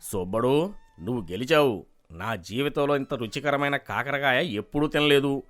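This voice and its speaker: A man voicing a commanding village landlord with a grand, emphatic delivery in an animated moral story. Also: mid-range voice, moderate pace, faint music behind, natural voice. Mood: solemn, grateful.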